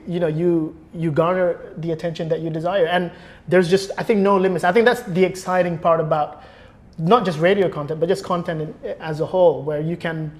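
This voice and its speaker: A man's voice: high-pitched, a little mellow. A man speaking as a podcast guest, explaining his opinions in a thoughtful, conversational way and often restarting phrases. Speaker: podcast guest, former radio producer. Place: indoor podcast recording.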